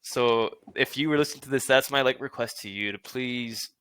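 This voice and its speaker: Male interview host, non-native English speaker, bright and forceful tone, earnest and casual. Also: mid-range voice, normal speed, slight background noise. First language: not English. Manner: earnest, laughs often, many fillers. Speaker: podcast host.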